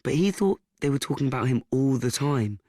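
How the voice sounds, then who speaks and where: An actor as a frank young nephew, dry sound. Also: male voice, medium pitch, expressive, a little mellow, dry recording, lightly acted. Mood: matter-of-fact. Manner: halting, repeats himself, conversational asides. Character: young nephew frankly recalling his troubled family, self-mocking. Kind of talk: confiding first-person radio play monologue.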